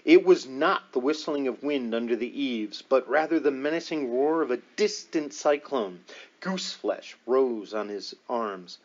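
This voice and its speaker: Guy reading a spooky audiobook, dramatic storyteller, medium pitch, kinda muffled lo-fi sound. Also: expressive, unhurried. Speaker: audiobook reader. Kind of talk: scripted narration of a paranormal book.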